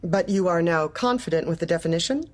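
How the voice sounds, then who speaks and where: A woman playing a manipulative, politely officious office manager who probes in a businesslike way, in a dark comedy audio drama. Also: on the low side, quick pace, close to an everyday voice. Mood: probing, businesslike. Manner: polite, probing, businesslike, bureaucratic.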